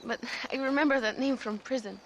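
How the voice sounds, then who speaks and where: A woman's voice: sits high, a little fast, dull audio, a little hiss or hum. An actress playing an exhausted, idealistic young woman in a western video game, speaking earnestly. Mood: uncertain.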